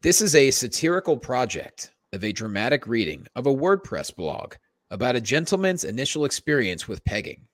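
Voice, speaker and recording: A man's voice: medium pitch, very animated, normal speed, dry recording. A man co-hosting a comedy podcast, moving between casual banter and mock-dramatic reading. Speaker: podcast co-host.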